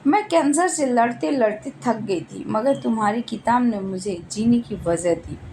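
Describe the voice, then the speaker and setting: A woman's voice: on the high side, unhurried, background noise. Woman reading as a wistful young college girl. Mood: tearful, loving. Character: playful young college woman hiding sorrow. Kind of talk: acted storybook dialogue.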